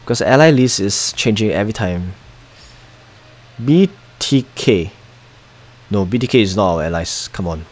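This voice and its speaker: A man's voice: lowish voice, animated, moderate pace, long pauses. A young Singaporean man with a Singaporean accent, chatting casually while live-streaming a mobile strategy game, muttering asides and reading viewer chat aloud.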